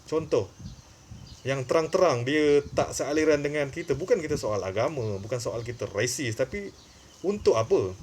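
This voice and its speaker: Male channel host, Malaysian accent, mid-pitched, opinionated and emphatic off-the-cuff commentary, faintly noisy recording. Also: expressive, moderate pace, a little mellow.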